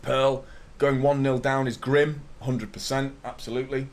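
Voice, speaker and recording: A man in his thirties with a slightly hoarse voice, hosting a live football fan stream, chatting to viewers and ranting with strong opinions. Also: medium pitch, flat delivery, moderate pace. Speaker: host of a football fan watchalong. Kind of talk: live stream chat and commentary.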